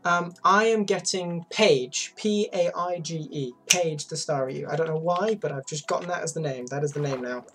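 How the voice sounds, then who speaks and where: Low-voiced playful young woman streamer. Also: moderate pace, few pauses, distant mic. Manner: playful, rambling, teasing. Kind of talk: casual gaming chat with friends.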